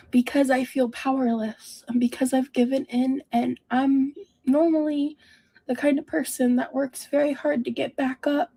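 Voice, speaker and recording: A woman with a fairly high voice and a very soft, mellow tone speaks in a personal confessional monologue. She is self-reflective and halting as she works through her thoughts. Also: low-quality recording.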